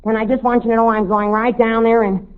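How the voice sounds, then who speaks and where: Earnest teen boy in love, boyish halting slangy talk, old radio comedy, really tinny. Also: very high voice, little pitch movement. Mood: grateful. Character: earnest, indignant lovesick high school boy. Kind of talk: 1940s radio comedy acting. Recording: thin, tinny old-time radio recording.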